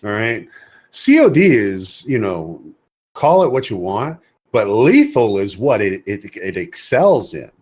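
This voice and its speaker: A man's voice: lowish voice, big pitch swings, unhurried, a little mellow. A man co-hosting a podcast who bluntly dismisses a game as generic. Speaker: podcast co-host. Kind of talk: casual chat.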